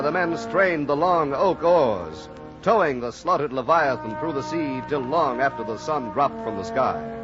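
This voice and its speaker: An actor playing a solemn whaling sailor who narrates a sea tale as dramatic old-time radio. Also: male voice, fairly high pitch, lightly acted. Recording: dull old radio recording, music behind.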